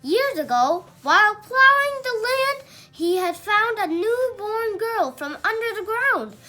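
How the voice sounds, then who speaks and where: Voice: extremely high pitch, big pitch swings, a little slow, pushed and bright. A child reading a mythological storybook aloud in a careful, emphatic way, with occasional stumbles over words.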